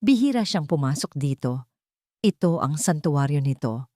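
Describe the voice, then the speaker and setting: A woman storyteller giving a dramatic scripted narration of a romance, with deliberate, emotive phrasing in short breaks. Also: low-pitched, animated, warm tone, no echo, studio quality.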